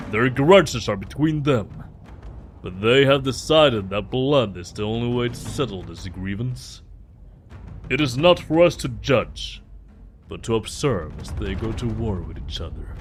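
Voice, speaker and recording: Man playing a slow, stately vampire lord. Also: mid-range voice, lightly acted. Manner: grand, stately, archaic diction. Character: solemn, lordly male vampire court ruler. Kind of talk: formal ceremonial audio drama acting.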